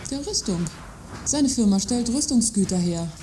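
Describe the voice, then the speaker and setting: A woman's voice: a little high, expressive, moderate pace, dark tone, natural voice. A woman acting a polite visitor with dry, knowing remarks in a satirical radio play. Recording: roomy sound with faint noise.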